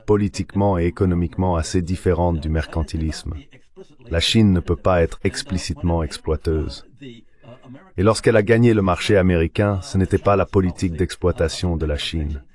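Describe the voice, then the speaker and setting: A man giving a measured, formal voice-over translation of an economist's analysis in an interview. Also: low-pitched, darkish tone.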